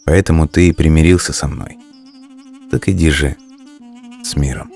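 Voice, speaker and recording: A man voicing a wise, forgiving female snake in a folk tale, with measured, counselling delivery. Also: a bass voice, gravelly, a little mellow, faint music behind, full character voice. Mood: calm, wise.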